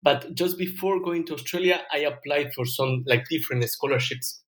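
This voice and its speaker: A man's voice: fairly high pitch, normal speed, a bit bright. A young man from Ecuador with an Ecuadorian Spanish accent, a native Spanish speaker, chatting casually as a podcast guest in hesitant, piecemeal phrases. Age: young adult. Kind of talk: casual chat.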